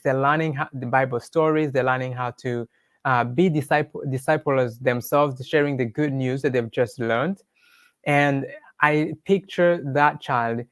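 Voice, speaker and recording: A man's voice: mid-range voice, dry recording. A man with a Rwandan accent shares his personal testimony as an interview guest, speaking in an earnest, devout storytelling way.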